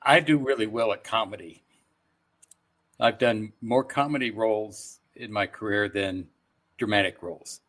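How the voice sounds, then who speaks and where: Older man with a low, bright voice. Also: normal speed. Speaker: voice and stage actor, podcast guest. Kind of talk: casual interview chat.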